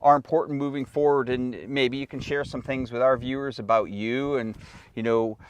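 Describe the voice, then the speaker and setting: A man's voice: mid-range voice, steady tone, moderate pace, lo-fi sound. A man hosting a casual live stream, asking questions and commenting in an informal, rambling way. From Canada. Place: outdoors on the Great Wall. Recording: noisy outdoor live stream.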